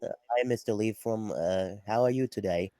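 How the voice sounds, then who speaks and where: A man voicing a polite, simple young adventurer in a tabletop game, speaking plainly and haltingly. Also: lowish voice, dark tone, no room sound, natural voice.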